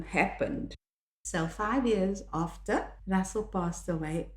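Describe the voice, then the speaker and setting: An older woman from South Africa, a native Afrikaans speaker with a South African accent, reflecting on her own life in a measured, earnest way. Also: on the low side, mellow. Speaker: author and podcast host. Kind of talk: reflective personal monologue. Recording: echoey room.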